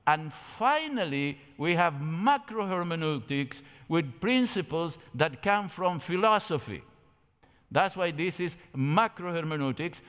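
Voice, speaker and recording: A man's voice: a little high, a bit bright. An older man from Argentina with an Argentine Spanish accent, giving a didactic yet conversational theology lecture with rhetorical questions. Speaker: theology lecturer at a church camp meeting. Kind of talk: lecture with slides. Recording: echoey hall with long reverb.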